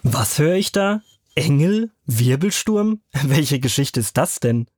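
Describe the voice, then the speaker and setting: Higher-voiced man explaining to children. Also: animated, slow, darkish tone, no echo, studio quality. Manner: simple, clear phrasing for children. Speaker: guest storyteller. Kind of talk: explaining Bible stories to children.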